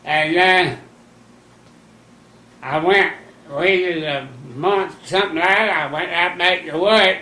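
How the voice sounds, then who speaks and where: A man in his nineties slowly recounting old memories in a folksy, rambling way, using plain rural grammar. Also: high voice, bright, forceful tone, echoey room. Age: 90s. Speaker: interviewee. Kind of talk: rambling recollection.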